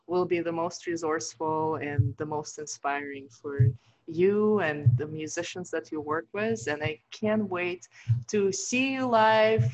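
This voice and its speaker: A woman host leads an interview over a video call, backing her guest with steady mm-hmms and easy laughs. Her voice sits a little low. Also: dull audio. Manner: warm affirming, frequent mm-hmms and laughs. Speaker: podcast host. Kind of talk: interview hosting.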